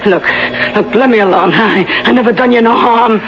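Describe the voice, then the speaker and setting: A woman's voice: fairly high pitch, moderate pace, roomy sound, long reverb tail, lightly acted. An American actress playing a tough but frightened woman facing an intruder in a vintage radio drama, blunt and slangy. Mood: frightened defiance. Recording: dull, noisy vintage radio broadcast.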